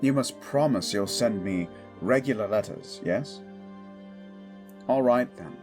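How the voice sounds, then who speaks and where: A man's voice: fairly low pitch, close to an everyday voice. A man playing a protective, stubborn young king in a romantic audio drama, formal, earnest and tender. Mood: reluctant, worried. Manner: formal, measured, earnest phrasing. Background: background music.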